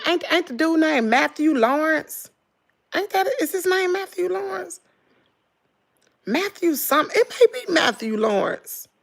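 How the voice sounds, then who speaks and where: A middle-aged Southern woman talks to her viewers with a hoarse, gravelly voice. Her pitch sits a little high and swoops widely as she gossips, with dramatic exclamations throughout. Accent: Southern American. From Southern United States. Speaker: vlogger. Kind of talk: casual chat with viewers.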